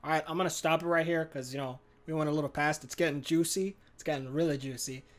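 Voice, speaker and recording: A man's voice: on the high side, steady tone, fast talker. A man reading a visual novel's narration aloud as a gaming host, plainly and correcting his own slips. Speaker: gaming YouTuber.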